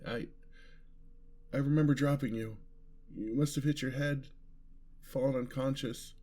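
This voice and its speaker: A man acts out a gentle, romantic male vampire for a fantasy audio roleplay. He speaks slowly at a medium pitch, earnest and tender, with a slightly formal turn of phrase. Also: fairly even intonation, natural voice. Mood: relieved, tender.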